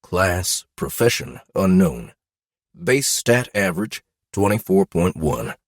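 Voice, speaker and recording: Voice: low voice, very animated, takes it slowly, dry recording, full character voice. Casting: magical game status readout performed by a narrator, impersonal and clipped, recorded close and dry.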